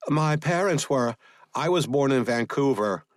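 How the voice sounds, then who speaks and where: A feisty, defiant woman agent, played by a male audiobook narrator, speaking well above his natural pitch. The manner is insistent, and the sound is dry and close. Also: expressive, a little slow, no room sound, lightly acted. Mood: feigned innocence. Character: feisty, defiant young woman agent feigning innocent tourist.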